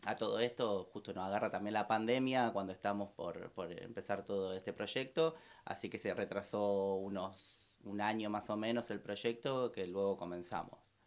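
A man's voice: on the low side, normal speed. A man in his thirties from Argentina with an Argentine Spanish accent, a bar owner answering interview questions in a radio studio, with many fillers and restarts. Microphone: studio microphone with headphones.

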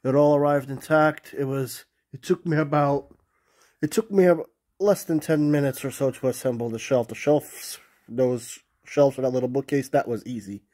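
A man's voice: medium pitch, fairly even intonation, normal speed, dark tone. A man with a cold and a nasal voice, rambling casually as he films his bedroom on a phone for his vlog. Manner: rambling, restarts and fillers, polite sign-off. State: has a cold, nasal and sniffly. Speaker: vlogger. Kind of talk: casual off-the-cuff vlog narration. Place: small cluttered bedroom indoors. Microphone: phone camera held while filming the room.

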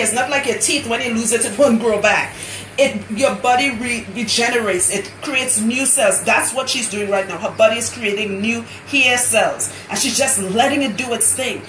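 A woman's voice: high voice, reverberant, rough recording. A woman with a Trinidadian accent, chatting boisterously on a live stream while working as a loctician in a hair salon. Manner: boisterous, animated, laughing. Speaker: loctician host.

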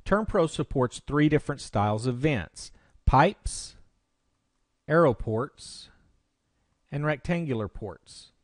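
A man narrates an instructional screencast in a medium-pitched voice. He explains things step by step, like a presenter walking a learner through software. The sound is dry, with very little room. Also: animated, takes it slowly, long pauses, no echo.

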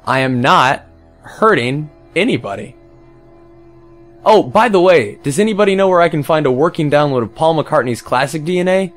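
Guy doing a smug callous pirate guy making excuses, comic monologue, pitch swings around, music behind. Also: lively intonation, moderate pace, close to an everyday voice. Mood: indignant, flippant. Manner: flippant, self-justifying, rhetorical questions.

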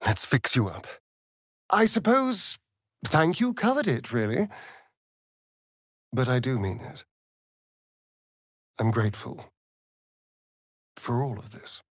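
An actor playing a sly, vain vampire rogue in a video game, with a silky, theatrical, mocking drawl. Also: male voice, mid-range voice, very animated, slow, pauses often, fairly bright tone, no room sound, studio quality, heavily acted. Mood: soft, sincere. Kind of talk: video game character voice lines.

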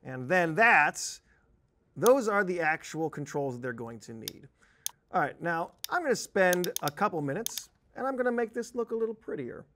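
A man in his thirties presenting a software tutorial in an animated, conversational, emphatic manner. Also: high voice, normal speed, slight background noise. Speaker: software trainer. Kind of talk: tutorial presentation with on-screen demonstration. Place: indoor presentation studio at a desk.

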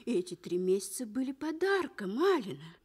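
A woman's voice: fairly high pitch, big pitch swings, normal speed, darkish tone, breathy edge. An actress playing a sympathetic woman consoling her colleague in a Soviet radio drama, soothing and emotional. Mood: consoling, pained. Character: sympathetic, worn-out female colleague consoling a friend.

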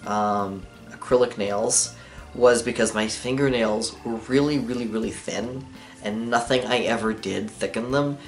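A young adult man vlogging casually to camera in a living room, rambling with lots of fillers and small laughs. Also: mid-range voice. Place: living room with lamp and couch, indoors.

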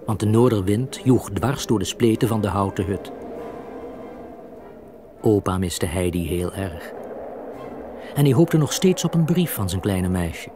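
Animated male storyteller. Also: on the low side, measured pace, long pauses, music in the background. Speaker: narrator. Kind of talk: scripted children's story narration.

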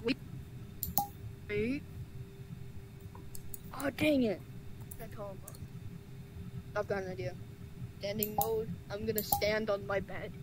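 A woman's voice: mid-range voice, steady tone, slow, pauses often, background noise. A woman playing a VR game, chatting casually and muttering to herself in fluster. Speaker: game player. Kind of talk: casual gaming chat.

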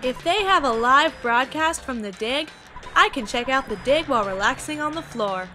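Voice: high voice, lively intonation, moderate pace, sharp tone, soft background music, pitched above the performer's own voice. A performer voicing an idle, nosy female onlooker in a video game dub. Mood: curious.

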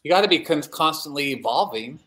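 A man's voice: a little high, lively intonation, a little fast, fairly bright tone. A man, a picture book author, chatting casually and appreciatively with an illustrator on a video call. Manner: encouraging, appreciative. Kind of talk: casual chat.

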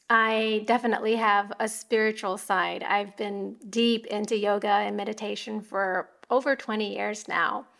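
A middle-aged woman from Japan with an American accent, hosting a podcast from home and telling her personal story in a soft, reflective way. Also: medium pitch, gravelly. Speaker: podcast host.

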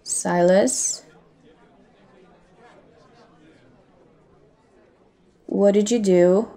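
A woman's voice: mid-range voice, fairly even intonation, slow pace, mellow, a faint crowd. A woman playing a bold, teasing pirate captain in an audio roleplay, speaking in a cocky, flirtatious manner. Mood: defiant. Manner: cocky, flirtatious, addressing listener with pet names.

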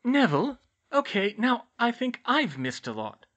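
A woman's voice: on the high side, very animated, measured pace, a bit bright, dull audio, pitched above the performer's own voice, exaggerated voice. A woman voicing an anxious, earnest and insistent teenage girl witch in a storybook reading. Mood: shocked. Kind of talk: audiobook-style character dialogue.

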